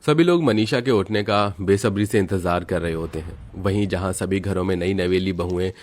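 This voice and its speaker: A man's voice: low-pitched, moderate pace. A man narrating a scripted family story.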